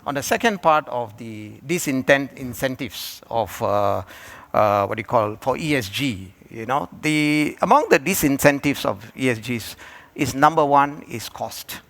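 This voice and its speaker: A man from Malaysia answering as a panelist at a conference, talking in a halting way with many fillers and restarts. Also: medium pitch, expressive, creaky, fairly bright tone. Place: conference hall.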